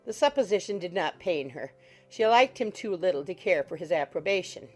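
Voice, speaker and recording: A woman's voice: lowish voice, lively intonation, a little fast. A woman reading scripted literary narration as an audiobook narrator. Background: soft music.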